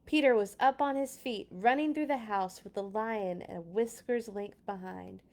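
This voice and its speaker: A woman narrating a children's storybook aloud in an expressive read-along style. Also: medium pitch, a little slow.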